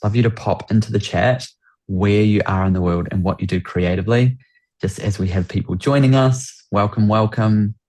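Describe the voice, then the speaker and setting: A man's voice: on the low side, moderate pace, a little mellow. A man with a New Zealand accent, hosting a business workshop on a video call and greeting people with lots of enthusiasm. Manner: enthusiastic, repeats welcomes and praise. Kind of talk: upbeat webinar hosting.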